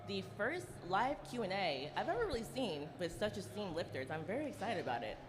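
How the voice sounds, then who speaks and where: A woman co-hosting a live Q&A as a coach, lively and excited, in a gym. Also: medium pitch, brisk, background noise. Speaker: pro coach and podcast host.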